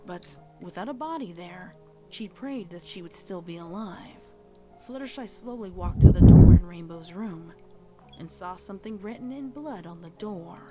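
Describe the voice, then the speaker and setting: A woman's voice: on the low side, expressive, moderate pace, natural voice, rough recording. A woman reading a horror story as a narrator, in a dramatic scripted style. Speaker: narrator of a fan fiction audio reading. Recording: music behind the voice. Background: music.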